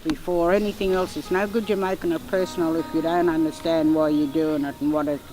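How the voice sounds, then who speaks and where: Older woman, Australian accent, even monotone delivery, roomy reverb. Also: low voice, fairly quick. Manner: steady, earnest storytelling. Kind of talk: documentary interview. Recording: echoey hall with soft music.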